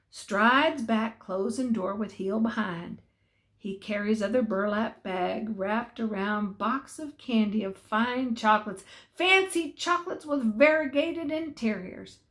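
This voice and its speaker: Older woman reading a story, slow and expressive. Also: mid-range voice, gravelly. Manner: expressive, savours repeated phrases. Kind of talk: dramatic reading of a folksy Christmas story.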